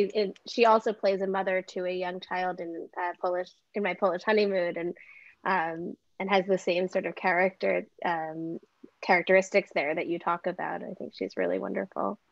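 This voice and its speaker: A woman in her thirties moderating a film festival Q&A over a video call, friendly and slightly halting. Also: lowish voice, dry recording. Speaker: festival artistic director and moderator. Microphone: laptop webcam video call. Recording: dull lo-fi video call audio.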